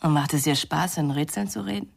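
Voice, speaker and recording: A woman's voice: deep voice, a little fast, vocal fry, heavily acted. An actress playing a cool, proud and reproachful woman lover in a German radio crime drama. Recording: radio play with music behind.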